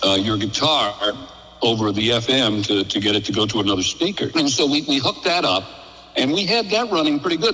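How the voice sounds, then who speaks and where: A man's voice: medium pitch, sharp tone. An older man chatting casually over the telephone, telling folksy, good-humored anecdotes as a guest. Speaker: interview guest, radio veteran. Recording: phone call, reverberant.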